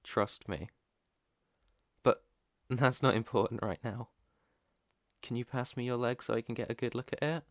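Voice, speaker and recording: Casting: male actor as protective British alpha werewolf, tender and naive, creaky voice, unhurried delivery, intimate ASMR roleplay. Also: medium pitch, no room sound, studio quality, close to an everyday voice. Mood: tender, reassuring. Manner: soft, tender, unhurried, reassuring. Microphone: close microphone, dry.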